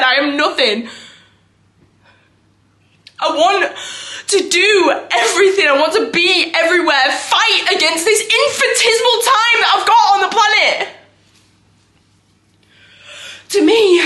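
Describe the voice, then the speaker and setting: A woman's voice: high voice, animated, normal speed, fairly bright tone. A woman performing a theatrical monologue as a restless, self-doubting young actress, raw and defensive. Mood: agitated, raw. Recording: echoey room recording.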